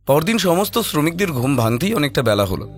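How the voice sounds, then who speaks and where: A man's voice: fairly high pitch, big pitch swings, brisk. A man narrating a scripted horror story in a dramatic storytelling style. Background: prominent background music.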